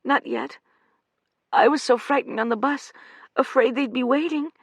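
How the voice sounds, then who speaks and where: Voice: high voice, normal speed, no echo, studio quality, voice pushed up. An audiobook reader voicing a frightened young American woman on the run, tearful and pleading. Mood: desperate.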